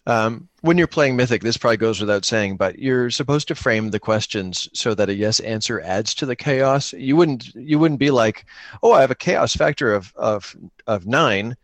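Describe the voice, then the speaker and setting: A man's voice: mid-range voice, moderate pace, no room sound. Casual, jokey man improvising a game. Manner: jokey, thinking aloud. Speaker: co-player running a solo-engine tabletop game.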